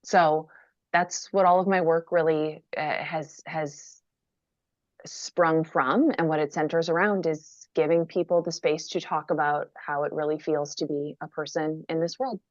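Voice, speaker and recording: A low-pitched woman from Minnesota speaks frankly and wryly, undercutting heavy moments with dry self-deprecating jokes. Her voice sits dead and close, with no room around it, and comes through a dull, lo-fi video call line. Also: fairly even intonation, unhurried, dry recording. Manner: candid, wry, self-deprecating humor. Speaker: guest author. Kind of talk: conversational interview answer.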